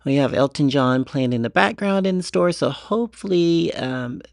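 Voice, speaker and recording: A man's voice: fairly high pitch, animated, normal speed, vocal fry, dry recording, soft background music. A man hosting a casual shopping vlog, talking through what he sees as he goes. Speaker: vlog host. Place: inside a retail store.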